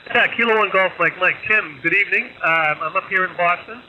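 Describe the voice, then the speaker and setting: Very bright, higher man on ham radio. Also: a little fast, poor audio. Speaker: amateur radio operator. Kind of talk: casual radio signal report. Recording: ham radio signal, faint static. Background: faint radio noise.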